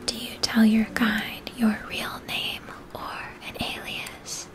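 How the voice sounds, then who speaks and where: A woman whispering a gentle, soothing ASMR fantasy roleplay, unhurried and carefully enunciated, addressing the listener directly. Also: fairly high pitch, monotone. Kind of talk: whispered ASMR, second-person fantasy story with choices.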